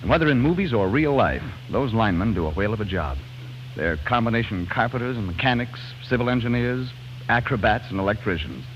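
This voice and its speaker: A man's voice: mid-range voice, animated, brisk. An American man announcing on 1940s radio, reading scripted sponsor narration in a folksy, polished, emphatic way. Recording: muffled, hissy 1940s radio broadcast.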